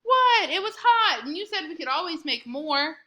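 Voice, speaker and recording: A woman's voice: very high voice, sing-song, normal speed, sharp tone, voice pushed up, heavily acted. A woman voicing a lazy, grumbling young boy in a storybook, offhand and blunt. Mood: defensive, grumbling.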